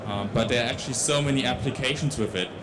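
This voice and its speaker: Halting young man giving a conference talk. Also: mid-range voice, noisy background. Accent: Dutch. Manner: hesitant, many restarts and fillers. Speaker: conference speaker. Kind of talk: tech conference presentation. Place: large conference stage. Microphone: handheld mic.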